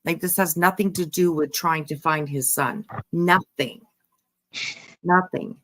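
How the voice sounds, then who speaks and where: Lowish voiced lady host, really slow, thinking out loud about a case, repeats herself for emphasis. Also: fairly even intonation. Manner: repetitive, halting, emphatic musing. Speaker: host giving commentary on a true-crime case. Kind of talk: casual reaction commentary.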